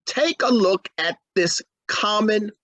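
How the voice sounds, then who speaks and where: A man's voice: sits high, slow pace, pushed and bright, full character voice. A man acting a genial family friend with salesman-like patter in an old-time radio comedy. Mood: salesmanlike.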